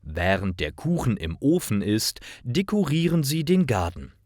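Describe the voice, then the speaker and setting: A man narrating simple scripted stories for language learners, reading aloud in a deliberate, carefully articulated way. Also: medium pitch, sing-song, a little mellow, dry recording, clean studio sound.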